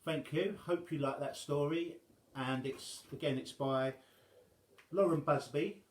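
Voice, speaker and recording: A man reading a rhyming children's story aloud as an expressive storyteller. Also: a little high, measured pace, reverberant.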